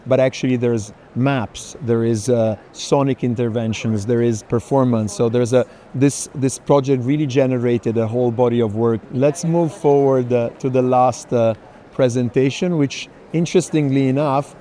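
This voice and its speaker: A man's voice: medium pitch, steady tone, normal speed, warm tone. A man moderating a walking conversation at an art fair, searching for phrasing and often ending on a check question. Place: indoor art fair, walking among stands. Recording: faint busy fair hall noise.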